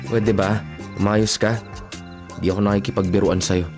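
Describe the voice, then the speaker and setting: A man's voice: on the low side, little pitch movement, moderate pace, over music, close to an everyday voice. Man playing a stern, impatient Filipino brother. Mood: stern, demanding. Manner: stern, demanding. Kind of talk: Filipino radio drama acting.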